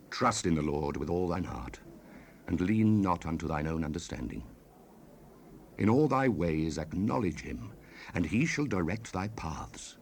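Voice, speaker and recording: An actor playing a stern fatherly biblical sage, declaiming scripture solemnly in an archaic style for a dramatized audio Bible. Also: low-pitched. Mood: grave, gentle. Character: stern fatherly biblical sage, exhorting and warning his son. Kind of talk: dramatized King James scripture reading, 1970s audio production. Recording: noisy raw cassette rip.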